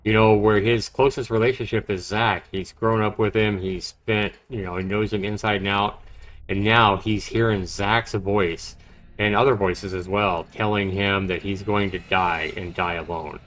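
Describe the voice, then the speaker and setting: A man's voice: lowish voice, fairly even intonation, moderate pace, a bit bright. A man livestreaming a horror visual novel, reading it aloud dramatically and chatting casually about it. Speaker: livestreamer playing and narrating a game.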